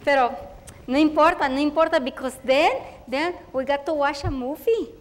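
A woman voicing a young Mexican-American girl on her first day of school, chatty and halting, mixing Spanish words into her English and speaking with a Mexican Spanish accent. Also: high-pitched, long reverb tail, lightly acted. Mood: eager.

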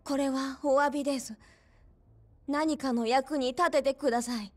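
Courteous young female informant actress, game drama: high register, apologetic, helpful manner. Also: steady tone, normal speed, natural voice. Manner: polite, explanatory. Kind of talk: Japanese video game cutscene acting.

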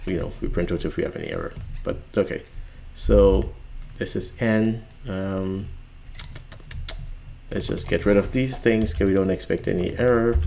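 A man with a Guyanese accent teaching programming in a relaxed screencast, thinking aloud as he types. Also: low-pitched, moderate pace, gravelly, slight background noise. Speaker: programming instructor. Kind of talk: screencast coding tutorial.

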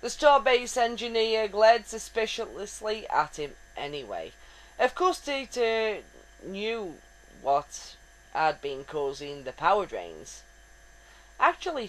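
A woman's voice: mid-range voice, poor audio. A woman giving a wry, dramatic reading of fan fiction. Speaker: reader.

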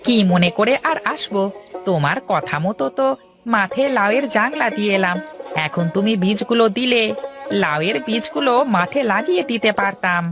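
A woman's voice: mid-range voice, lively intonation, moderate pace, natural voice. A woman voicing a poor, naive young male farmer in a cartoon fairytale, earnest and dreamy. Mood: inquiring. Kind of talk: animated moral fairytale dialogue. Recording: faint music behind the voice.

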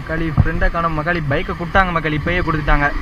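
A man's voice: a little high, fairly even intonation, rapid-fire. A young man, chatting casually to his viewers while riding a motorbike through city streets, rambling and repeating himself as he goes. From India. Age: young adult. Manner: chatty, addresses viewers constantly, rambling repetitions. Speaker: motovlogger. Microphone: helmet or chest action camera. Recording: traffic and wind noise.

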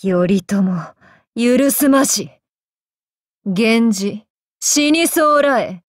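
A woman voicing a tormented, vengeful samurai woman in an anime game, speaking in archaic, solemn, halting phrases. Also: on the high side, expressive, dry recording, studio quality. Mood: cold, hateful. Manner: archaic, solemn speech with halting pauses, sometimes sinking to whispers. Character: vengeful possessed samurai woman, tormented, torn between two selves. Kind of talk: anime game character voice lines.

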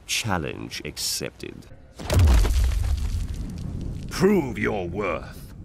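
Super deep evil dark god, slow n creaky, haughty game villain, music behind. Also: male voice, wildly swooping pitch, background noise, exaggerated voice. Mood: contemptuous. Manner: slow, haughty, menacing pronouncements. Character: fallen elder god, arrogant male dark lord sorcerer. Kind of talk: video game villain voice acting.

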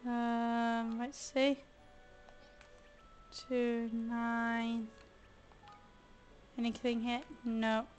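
Hoarse woman streaming a game. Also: on the high side, monotone, drawn-out words, pauses often, soft background music. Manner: chatty, thinks aloud, grumbles playfully. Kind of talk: casual let's-play commentary.

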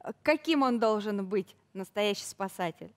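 A woman's voice: medium pitch, animated, normal speed. A woman hosting a live morning show interview in an effusive, eager, conversational way. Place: TV studio.

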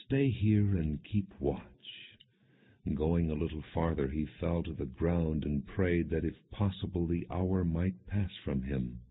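A man reading scripture as an audiobook narrator, in a solemn, measured, expressive way. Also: a bass voice, breathy edge. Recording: muffled, narrow phone-like sound.

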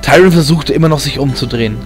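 A man's voice: fairly high pitch, quick pace. A man reading a fantasy story as a dramatic audiobook narrator. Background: music bed.

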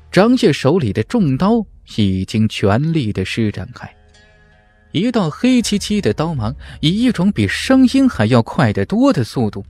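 A man's voice: mid-range voice, darkish tone, dry recording. A man narrating a fantasy martial arts audiobook in a dramatic, scripted style.

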